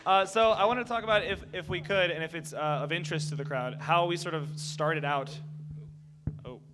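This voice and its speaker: A man's voice: on the high side, brisk. A man leading an informal fan convention panel, speaking into a microphone before a live audience. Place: convention panel room, indoors. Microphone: handheld or table microphone. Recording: live PA recording with loud audience.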